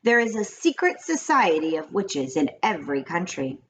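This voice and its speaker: Woman voicing an emphatic old grandmother, lively pitch. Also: normal speed, rough recording. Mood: gravely confiding. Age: older. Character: knowing old grandmother, gravely confiding witch lore. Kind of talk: children's storybook read-aloud.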